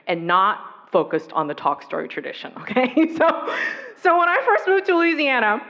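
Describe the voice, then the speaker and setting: A woman's voice: a little high, lively intonation, creaky, fairly bright tone. A woman from the US East Coast whose first language was Taiwanese, giving an earnest, reflective personal talk on violence prevention. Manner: reflective, earnest, with pauses and fillers. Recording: dull recording in an echoey hall.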